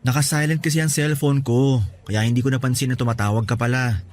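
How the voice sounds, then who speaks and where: A man's voice: mid-range voice, steady tone, a little fast, a little hiss or hum, lightly acted. A man with a Filipino accent playing a defensive, dismissive young boyfriend in a radio drama. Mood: apologetic.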